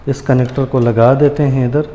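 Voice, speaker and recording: A man hosts a casual how-to, talking viewers through each step as friends. His voice is soft-edged and dark in tone. It sounds recorded on a camera mic in a hard, echoey room, with faint noise behind him. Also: mid-range voice, steady tone, normal speed. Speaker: tutorial host. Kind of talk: casual hands-on installation tutorial. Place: indoors in a tiled room, hands at a granite counter.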